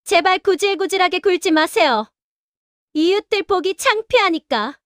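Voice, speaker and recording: A woman's voice: extremely high pitch, lively intonation, normal speed, a bit bright, no room sound, clean studio sound, pitched above the performer's own voice. A woman voicing a cold, dismissive daughter-in-law in an audio drama. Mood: scornful. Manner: dismissive, scornful.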